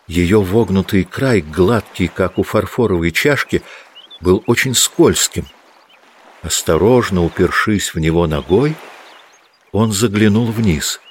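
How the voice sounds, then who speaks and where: A man's voice: fairly low pitch, slightly rough. A man reading scripted literary narration as the narrator of an audio drama.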